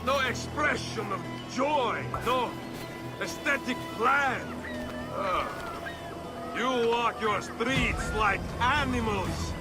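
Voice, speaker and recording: An actor playing a pompous, scornful Italian diplomat in a video game, with an Italian accent and flamboyant, fussy disdain. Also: male voice, very high voice, takes it slowly, loud music behind the voice. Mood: disdainful. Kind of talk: video game cutscene acting.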